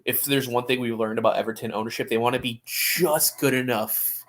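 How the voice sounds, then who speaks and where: A man's voice: medium pitch, steady tone, a little fast, fairly bright tone. A man co-hosting a sports podcast in casual, opinionated conversation, often restarting his phrases. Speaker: soccer podcast co-host.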